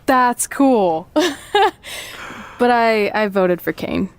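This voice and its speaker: A woman's voice: medium pitch, drawn-out words, clear, resonant tone. An actress playing a sarcastic, hot-headed young woman wrestler in a video game cutscene. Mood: dry, impatient. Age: young adult.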